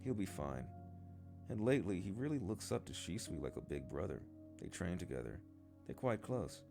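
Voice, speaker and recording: Man voicing a proud, gentle mother. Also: sits low, very animated, moderate pace, vocal fry, music in the background, full character voice. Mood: reassuring. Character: gentle, proud mother defending her young son.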